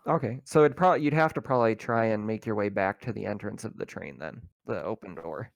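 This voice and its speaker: Gravelly, low-voiced man running a game. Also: fairly quick, no echo, highs cut off. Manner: informal, explanatory. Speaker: game master. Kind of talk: casual tabletop roleplaying game session.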